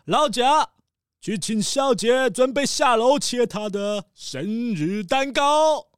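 Brief: theatrical rich tycoon father, acted by a performer, slow and exclaiming, soft music bed. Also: medium pitch, animated, smooth, no room sound, clean studio sound. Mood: jovial. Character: rich excitable middle-aged male tycoon father. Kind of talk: children's audio drama.

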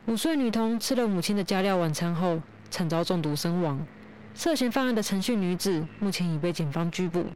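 A woman playing a composed television news anchor, reading formally in a measured way. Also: lowish voice, lively intonation, a little mellow, natural voice. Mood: formal, serious.

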